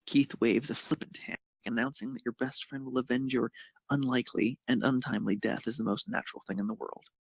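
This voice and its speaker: A man's voice: medium pitch, fairly even intonation, a little fast, vocal fry, husky, dry recording, rough recording. A man reading scripted narration of a romantic fan fiction story.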